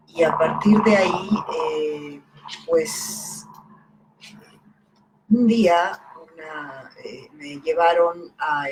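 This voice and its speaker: Slow, halting woman. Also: low-pitched, a little mellow, slight background noise, poor audio. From Italy, raised in southern Mexico. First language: Italian. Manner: unhurried, many hesitations and fillers. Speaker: poet and editor presenting her book. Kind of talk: live-streamed talk.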